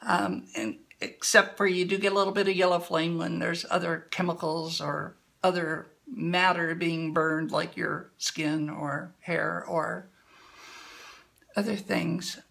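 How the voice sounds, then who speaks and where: Calm, bright-voiced woman giving a demo. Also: lowish voice, vocal fry. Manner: calm, explanatory, unhurried. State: slightly hoarse. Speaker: livestream demonstrator. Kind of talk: instructional demo talk. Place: indoor room at a table.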